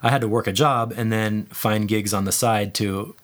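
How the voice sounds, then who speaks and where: A man speaking as an interview guest, relaxed and rambling with frequent fillers. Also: fairly low pitch, moderate pace. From United States. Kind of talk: conversational interview.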